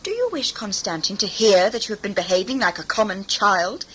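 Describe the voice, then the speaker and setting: A woman's voice: medium pitch, sing-song, fairly quick, fairly bright tone, slight background noise, low-quality recording. A woman playing a strict, formal mentor scolding a young priestess in a sci-fi audio drama. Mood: stern, scolding.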